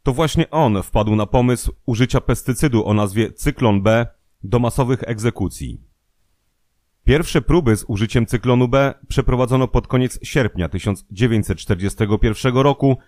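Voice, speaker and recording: A man reading scripted documentary narration in a measured, formal manner. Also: mid-range voice, expressive, darkish tone, dry recording.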